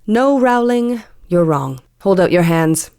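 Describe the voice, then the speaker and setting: A woman's voice: medium pitch, lively intonation, dark tone, clean tone, dry recording, clean studio sound. A woman voicing a confident police inspector who lays out the solution firmly. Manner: firm, deliberate explaining.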